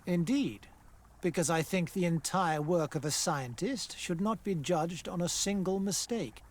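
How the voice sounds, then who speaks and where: A man's voice: sits high, a little slow. An actor playing a formal, pompous male scientist who runs a colonial laboratory in a fantasy game. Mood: defensive. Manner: formal, pompous, loftily dismissive. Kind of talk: scripted fantasy game acting.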